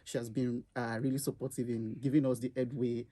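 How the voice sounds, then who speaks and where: A young man talks in a mellow, warm tone at an ordinary pitch. He speaks with frequent pauses and fillers, like a student introducing himself on a campus radio chat show. His manner is hesitant but steady. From Nigeria.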